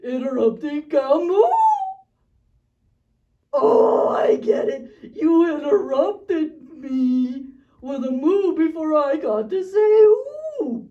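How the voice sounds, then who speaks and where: A woman voicing a naive, curious, excitable little frog in a children's church puppet show. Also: high-pitched, slow, distant mic, highs cut off. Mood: amused, excited. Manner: childlike, wide-eyed, exclaiming.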